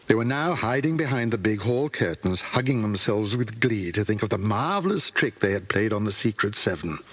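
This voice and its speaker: An English man narrates a children's storybook. His delivery is expressive, with a lively, wide-moving pitch and a slightly husky edge. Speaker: audiobook narrator. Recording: cassette transfer of audiobook.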